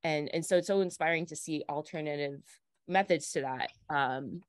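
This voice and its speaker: A woman's voice: low voice, normal speed, no echo, studio quality. A woman moderating an online panel, asking questions in a hesitant way full of fillers and restarts, over a video call. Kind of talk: casual conversational questions.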